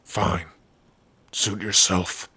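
A man playing a big, gruff orc with a rough, husky voice, teasing yet secretly soft-hearted, in an ASMR roleplay. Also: low-pitched, level tone, very slow, no echo, exaggerated voice. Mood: gruff, wry. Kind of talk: ASMR roleplay addressed to the listener.

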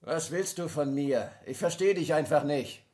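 A menacing kidnapper in a crime radio play, played by a man. He sneers his threats in a fairly high male voice. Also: normal speed, darkish tone, natural voice.

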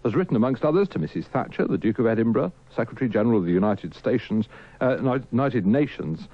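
A man's voice: mid-range voice, animated, quick pace, no echo. A British man presenting casually on the radio, a little hesitant and self-deprecating. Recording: dull archive radio tape.

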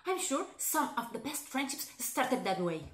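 A woman's voice: sits high, very animated, moderate pace, fairly bright tone. An actress playing a heartbroken young woman being dumped who feigns indifference, in a theatrical monologue. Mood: forced cheer. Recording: echoey, roomy sound.

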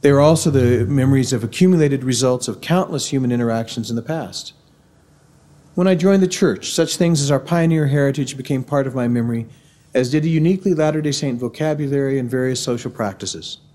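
A man's voice: medium pitch, warm tone. Earnest middle-aged man at a podium. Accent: Missouri. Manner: measured, earnest lecturing. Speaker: university speaker. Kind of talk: formal devotional address. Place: large university auditorium. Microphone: podium microphone.

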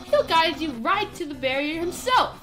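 A woman's voice: very high-pitched, animated, moderate pace, a bit bright, faint music behind. A woman voicing a boastful, friendly and naive male skeleton from a game, announcing things theatrically.

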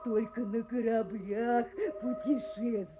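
A woman voicing an eager, helpful, excitable baby elephant in a children's audio fairy tale. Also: on the high side, slow, very noisy.

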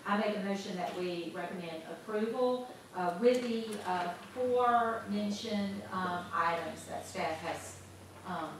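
A woman's voice: medium pitch, a little slow. A woman on a planning commission asking questions and making a motion in a conversational, slightly hesitant way. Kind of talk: questions and motions at a public meeting. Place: large council chamber. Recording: echoey hall, mic far off, background noise.

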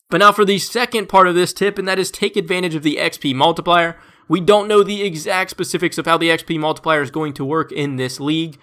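A man with a stuffed-up, congested voice, narrating a scripted game tips voice-over as a gaming video creator, in an upbeat, steady tip-by-tip delivery. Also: on the high side.

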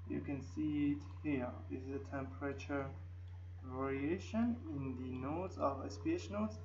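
A man with a non-native English accent narrates an unscripted screen-recorded software tutorial in halting, hesitant phrases. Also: fairly high pitch. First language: not English. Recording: dull lo-fi mic, faint background noise.

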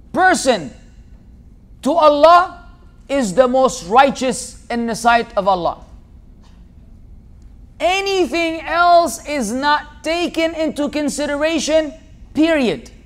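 Animated high-voiced man giving a lecture. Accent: American-sounding English. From Lebanon. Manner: animated, gesturing with both hands, acts out quoted people. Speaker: Islamic lecturer. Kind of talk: public lecture. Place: lecture hall, seated at a table. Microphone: small tabletop mic on a tripod.

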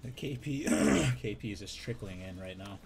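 A man in his thirties with a hoarse, raspy voice, chatting in a laid-back, unhurried way while streaming a game. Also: on the low side, lively intonation, loud music behind the voice. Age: 30s. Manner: laid-back, unhurried, drifting between game remarks and stories. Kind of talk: casual live-stream chat while gaming.

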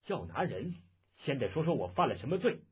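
A man voicing a brave, stern young swordsman with a firm, steady, calm delivery, heard in lo-fi phone-like audio. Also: mid-range voice, fairly quick, slightly rough. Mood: defiant.

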